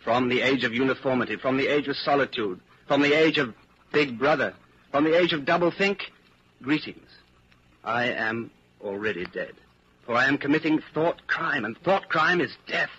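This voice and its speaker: A man's voice: mid-range voice, moderate pace, sharp tone, natural voice. A man acting in a 1940s radio drama as a weary, lonely clerk who secretly rebels, earnest and brooding. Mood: brooding, intense. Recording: muffled, hissy 1940s radio recording.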